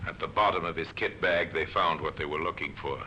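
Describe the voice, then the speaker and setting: A man's voice: a bass voice, fairly quick, bright, forceful tone, a little hiss or hum. A man reading scripted narration for an old-time radio mystery drama. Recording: muffled old radio recording.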